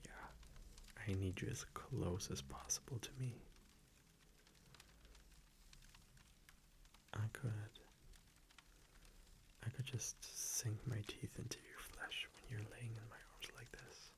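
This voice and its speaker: A man playing a tender, blunt vampire boyfriend in an intimate ASMR roleplay, murmuring softly and haltingly close to the mic. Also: on the low side, steady tone. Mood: hungry, intimate. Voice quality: breathy, gravelly murmur, often whispered. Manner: soft, halting, affectionate, with small pauses. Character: tender, blunt young male vampire boyfriend, teasingly possessive. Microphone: close microphone.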